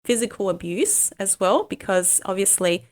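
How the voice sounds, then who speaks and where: Halting, reflective woman. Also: medium pitch. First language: Cantonese. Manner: halting, many pauses and ums, reflective. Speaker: guest, life coach. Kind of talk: candid personal interview.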